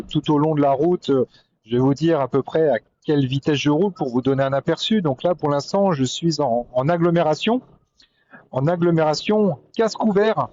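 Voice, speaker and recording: A man giving a casual, hesitant commentary while riding a motorcycle, thinking aloud into a helmet intercom mic. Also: on the high side. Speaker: motovlogger reviewing gear. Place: on a motorcycle on the road. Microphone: intercom boom mic inside helmet. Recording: muffled helmet intercom mic, loud wind noise. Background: wind and vehicle noise.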